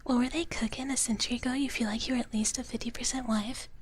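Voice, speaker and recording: A woman streamer speaking in a soft, breathy whisper in a playful, casual live ASMR style, close to the microphone. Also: a little high, steady tone, quick pace. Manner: playful, speaks of herself in third person. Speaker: streamer performing as a dragon-girl character.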